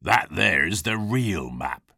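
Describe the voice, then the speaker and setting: Storybook pirate captain, deep voice, unhurried, West Country pirate accent, growly, hearty, theatrical. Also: male voice, sing-song, gravelly, pushed and bright, breathy edge, no echo, clean studio sound, heavily acted. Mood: excited, hearty. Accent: stage West Country pirate. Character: gruff hearty old-salt pirate captain, a scheming grown man. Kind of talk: acted children's storybook dialogue.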